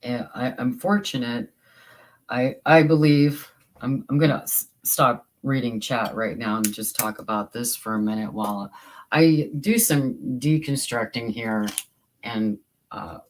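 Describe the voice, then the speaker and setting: A livestream host with a soothing voice, chatting casually with viewers while crafting, halting and restarting often. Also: mid-range voice, a little hiss or hum. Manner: slow and halting, with many repeated starts.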